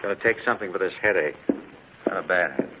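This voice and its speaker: An actor playing a despairing, volatile forger in a halting, agitated radio drama style. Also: male voice, sits low, animated, bright, forceful tone. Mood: troubled, confused. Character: despairing, volatile forger cornered at home with his dogs. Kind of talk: 1950s radio drama acting. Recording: noisy old 1950s radio recording.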